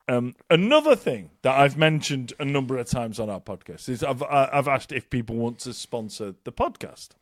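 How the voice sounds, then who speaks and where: A man's voice: mid-range voice, no echo. A man co-hosting a casual podcast, swearing, joking and rambling through his announcements into a close mic. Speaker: podcast co-host. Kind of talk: casual chat.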